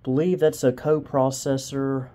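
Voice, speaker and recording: A man's voice: medium pitch, level tone, measured pace, mellow, a little hiss or hum. A man giving casual hands-on commentary as a video host, thinking aloud and often unsure. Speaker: host of a vintage computer video channel. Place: indoors in a home room.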